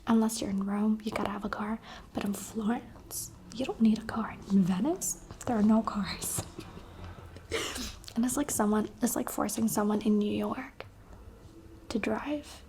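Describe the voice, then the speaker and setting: Young woman ASMR creator from the UAE, soft unvoiced whisper, chatty and candid, faint hiss behind. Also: mid-range voice, steady tone, measured pace.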